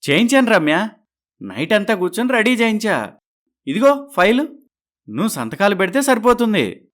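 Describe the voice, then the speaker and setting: A man's voice: high voice, sing-song, moderate pace, a bit bright. An actor voicing an ordinary man in a dramatised moral story. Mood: meek, placating. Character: men around the heroine: doctor, boutique owner, meek husband.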